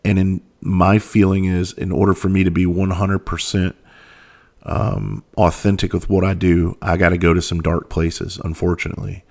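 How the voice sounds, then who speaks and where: A man, hoarse and losing his voice, hosting his own podcast in a candid, earnest monologue full of restarts and soft asides. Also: deep voice, level tone. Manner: earnest, halting with frequent restarts and filler, drops into soft asides. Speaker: podcast host and mental health speaker.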